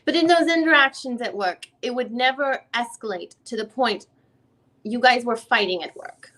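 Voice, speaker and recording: A woman acting as a female attorney with a fairly high voice, questioning a witness in a deposition reading. She is conversational and searching, feeling her way through each question and rewording it. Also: normal speed, fairly bright tone, low-quality recording. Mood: probing. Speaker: reader playing a deposing attorney. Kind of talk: dramatic reading of a legal deposition.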